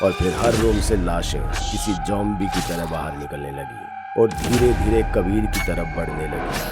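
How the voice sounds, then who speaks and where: A man's voice: sits low, normal speed, loud music behind the voice. A man narrating a scripted horror story in a dramatic, suspenseful storytelling manner.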